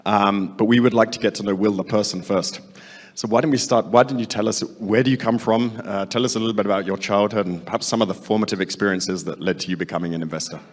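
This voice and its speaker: A man's voice: fairly low pitch, fairly even intonation, quick pace. A man hosting a live on-stage interview, putting questions to his guest. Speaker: host, interviewer. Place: large event room at a gathering. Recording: echoey hall with long reverb.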